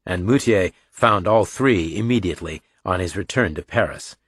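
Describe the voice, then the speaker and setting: Male audiobook narrator, lowish pitch, dry close-miked scripted storytelling. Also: moderate pace, breathy edge, no echo.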